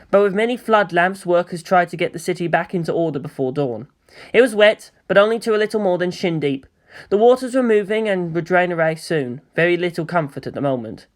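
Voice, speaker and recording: A narrator reading fan fiction aloud, changing pitch and pace for emphasis and voicing the characters.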